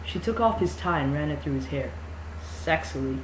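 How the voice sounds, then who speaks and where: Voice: mid-range voice, expressive, moderate pace. Sarcastic, sweary amateur reader in an echoey room. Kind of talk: mock-dramatic novel reading with sarcastic asides. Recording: echoey room with faint noise.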